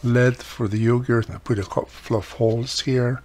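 A man's voice: medium pitch, level tone, normal speed, a little mellow, slight background noise. A middle-aged man giving casual, hands-on instruction in an explanatory way, with frequent small cautions. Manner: explanatory, repeats "you know" and cautions. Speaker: radio repair video host. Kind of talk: casual hands-on repair tutorial. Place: indoor workbench.

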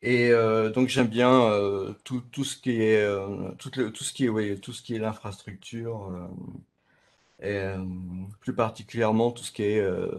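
Middle aged guy, fairly deep voice, halting unscripted intro on a video call. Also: a little mellow. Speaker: panelist, IT infrastructure specialist. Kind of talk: unscripted self-introduction on a panel.

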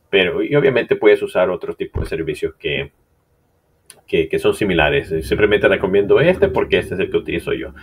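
A man's voice: low-pitched, a little hiss or hum. A middle-aged man casually walking through a screen-share tutorial on social media marketing, chatty and loose, with many fillers and restarts. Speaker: marketing instructor.

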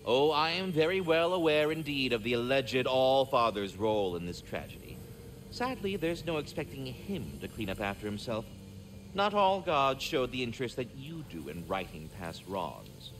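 Courteous immortal game squirrel, verbose, formal, very animated pitch, faint ambience. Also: male voice, normal speed, noisy background. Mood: gracious. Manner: verbose, formal, flowery diction. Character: courteous, genteel giant immortal male squirrel, fatherly. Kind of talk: theatrical video game acting.

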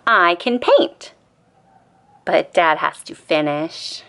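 A woman reading a children's picture book aloud as an expressive, deliberate bedtime story. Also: on the low side. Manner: deliberate, acts out quoted lines in a child-directed way.

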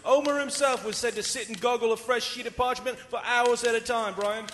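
A man's voice: very high-pitched, fairly even intonation, a little fast, fairly bright tone. A man playing a folksy, colorful boxing commentary sidekick with old-time slang in a comic radio sketch. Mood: chatty, knowing. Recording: live theater stage, reverberant, faint noise.

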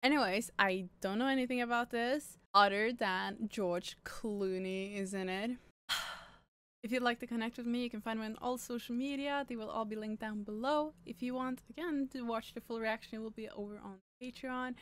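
A chatty, upbeat young woman from Norway, a native speaker of Norwegian, hosts a movie reaction. Her voice is smooth and a little high, recorded close on a studio microphone in her bedroom. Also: moderate pace, fairly bright tone. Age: young adult. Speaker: reaction channel host. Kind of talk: casual movie reaction chat. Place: bedroom with purple lighting. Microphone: studio microphone on an arm.

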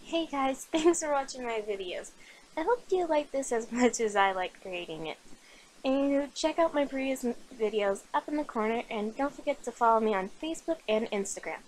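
A woman's voice: a little high, lively intonation, moderate pace, poor audio. A woman speaking as a video creator, addressing her viewers in a channel outro.

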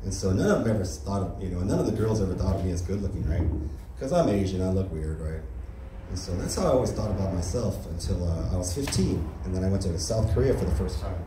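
A young American man doing a casual stand-up set on a small club stage, with a deadpan delivery and frequent "right?" and "you know?". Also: deep voice, fast talker, noisy background. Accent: General American. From United States. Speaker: stand-up comedian. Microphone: handheld mic on stand. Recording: roomy club sound from a far camera.

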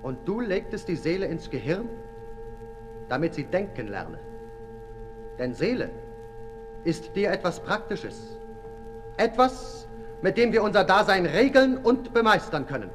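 An actor in a 1960s German radio play, playing a grave orator who declaims solemnly and rhetorically. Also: male voice, high voice, very animated, unhurried, pauses often. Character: grave male orator defending a misunderstood revolutionary thinker. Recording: reverberant 1960s radio recording with music.

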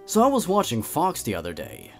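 A man's voice: fairly high pitch, huge pitch swings, a little fast, creaky, soft background music. A man hosting a comedic story reading, theatrical and amused in his reactions. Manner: theatrical, amused, chuckles between lines. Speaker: host of a creepypasta reading channel.

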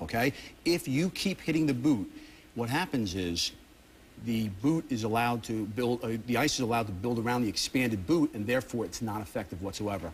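A man's voice: medium pitch, slight background noise. A middle-aged American man giving an emphatic, instructive technical explanation as an expert guest in a TV studio. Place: television news studio.

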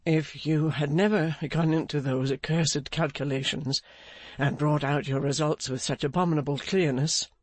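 A reader voicing an anguished, guilt-ridden gentleman in an audiobook, gentle and weary, repeating himself in grief. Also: mid-range voice, fairly even intonation, moderate pace, no room sound, natural voice. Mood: sorrowful commiseration. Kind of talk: audiobook character acting.

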